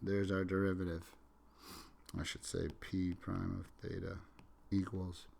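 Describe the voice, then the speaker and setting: A man with a somewhat low voice teaches calculus while he is sick and sniffling into the microphone. He narrates each step as he writes. He stops now and then to correct himself. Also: completely flat delivery, a little slow, vocal fry, a little mellow, slight background noise. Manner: talks through steps while writing, self-correcting asides. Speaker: math teacher. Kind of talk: recorded calculus lecture.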